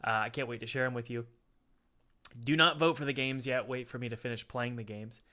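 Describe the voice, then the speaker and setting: A man's voice: mid-range voice, steady tone, fairly quick, pushed and bright. Stuffy-sounding man hosting a livestream. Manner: rambling, repeats himself, self-correcting. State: stuffy, sounds like a head cold. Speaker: livestream host reviewing indie games. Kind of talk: casual livestream chat with ad read. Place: home room, window open, cold.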